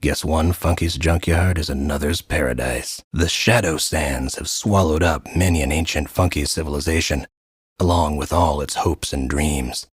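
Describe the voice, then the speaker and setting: A man voicing a gloomy, wry guide to a nightmare land in a game, husky and deadpan. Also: extremely low pitch, moderate pace, no room sound, clean studio sound. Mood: wry, ominous. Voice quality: husky, raspy. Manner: deadpan, ominous wit. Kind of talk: scripted game narration.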